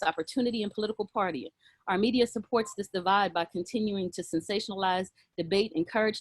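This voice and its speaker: A woman sharing a personal reflection with a group on a video call, earnest and halting, with many fillers and restarts. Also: fairly low pitch, no echo. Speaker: circle participant.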